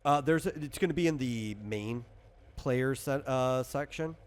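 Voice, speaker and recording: A man casually explaining tabletop game rules as a game master, in a rambling, halting way that circles back and rephrases. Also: medium pitch, clear, resonant tone.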